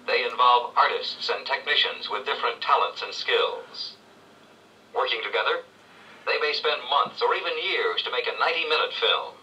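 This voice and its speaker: Very bright, animated male educational narrator. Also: mid-range voice, distant mic, highs cut off. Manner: measured storytelling with clear phrasing. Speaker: read-along narrator. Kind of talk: scripted 1970s educational narration. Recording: old read-along played back from afar, faint noise.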